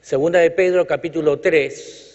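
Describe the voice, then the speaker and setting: A man's voice: a little high, fairly even intonation, moderate pace, darkish tone. A male preacher from Chile giving a sermon with emphatic, rhythmic repetition and light jokes to the congregation. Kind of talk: sermon with congregational call-outs. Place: church hall. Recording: reverberant hall, dull lo-fi sound.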